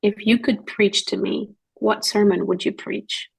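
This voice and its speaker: Husky, gravelly-voiced woman telling stories. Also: medium pitch, flat delivery, unhurried, rough recording. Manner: earnest, storytelling, quotes others in dialogue. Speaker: guest missionary. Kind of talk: podcast conversation.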